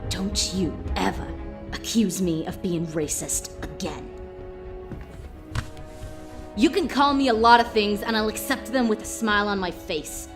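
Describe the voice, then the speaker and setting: A woman's voice: mid-range voice, animated, a little slow, long pauses, close to an everyday voice. A woman playing a frightened but defiant young woman in a dramatic comic dub, indignant and emotional. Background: music.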